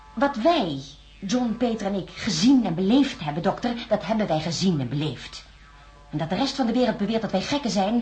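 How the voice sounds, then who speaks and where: An actress playing a troubled, guarded astronaut scientist in a science fiction radio drama, articulate and insistent. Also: female voice, mid-range voice, a little mellow, close to an everyday voice.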